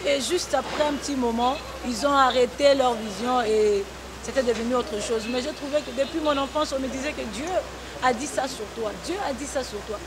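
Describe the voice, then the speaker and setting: A woman's voice: sits high, fairly quick, a crowd in the background. A woman gospel singer who grew up on the Congo-Zambia border, giving earnest, testimonial answers in a talk show interview with hesitant restarts. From Congo-Zambia border region. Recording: noisy broadcast recording.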